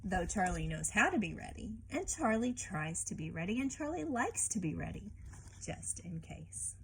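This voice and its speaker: A woman reading a picture book aloud and teaching children informally, expressive and often turning to the listener with questions. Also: mid-range voice, vocal fry, slight background noise, poor audio.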